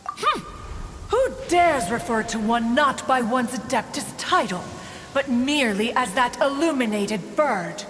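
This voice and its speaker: In a long reverb over faint noise, an imperious immortal bird from a fantasy game. She is easily offended and speaks slowly, in lofty archaic phrases. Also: on the high side, lively intonation. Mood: indignant, haughty. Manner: imperious, archaic formal speech. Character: haughty, easily offended immortal bird adeptus, a female master. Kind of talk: game voice acting.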